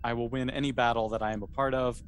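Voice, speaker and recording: A man's voice: medium pitch, steady tone, quick pace, lightly acted. Man voicing a defiant alien warrior commander, noisy background.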